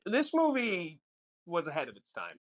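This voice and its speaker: A man co-hosting a casual film discussion podcast, explaining things in a measured way. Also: sits high, huge pitch swings. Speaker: podcast co-host.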